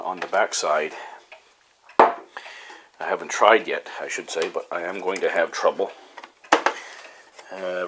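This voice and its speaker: Male hobbyist repair host, very low pitch, halting informal delivery, light background noise and ticks. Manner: halting, pausing often mid-sentence. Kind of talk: casual hands-on demonstration.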